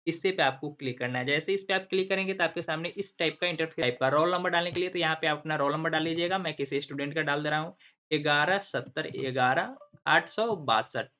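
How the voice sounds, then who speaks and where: A man's voice: a little high, fast, bright, forceful tone. Male teacher repeating every step, lo-fi. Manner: asks himself questions and repeats the answers like a teacher. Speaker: exam coaching teacher and channel host. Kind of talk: screen-recorded tutorial explaining step by step. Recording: dull lo-fi recording.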